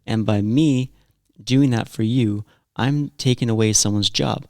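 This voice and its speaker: A man's voice: mid-range voice, unhurried, darkish tone, dry recording, studio quality. A man chatting casually as co-host, thinking aloud as he rambles and often restarting his sentences. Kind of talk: casual conversation.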